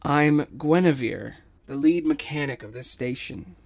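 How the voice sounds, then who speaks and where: A man's voice: a little high, unhurried, vocal fry, darkish tone, no room sound. A man voicing a blunt, disgusted woman who works as a space station mechanic in an audio story. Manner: blunt, accusing.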